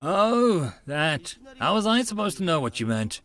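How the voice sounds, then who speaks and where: A man's voice: on the high side, very animated, unhurried, fairly bright tone, pitched above the performer's own voice. A man voicing a blunt, dismissive young student sidekick in an audiobook story. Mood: unimpressed. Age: young adult.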